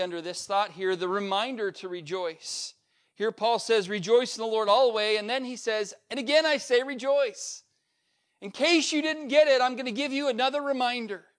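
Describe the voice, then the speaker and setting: A male pastor preaching a sermon, building up to a repeated refrain and swinging between loud peaks and quiet, low asides. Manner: emphatic preacher with swings in pitch and loudness, repetitive refrain.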